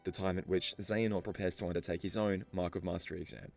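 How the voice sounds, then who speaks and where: A man reading scripted story explainer narration in a measured, storytelling delivery. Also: low-pitched, steady tone, vocal fry, a little mellow. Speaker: video narrator. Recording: music behind the voice. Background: background music.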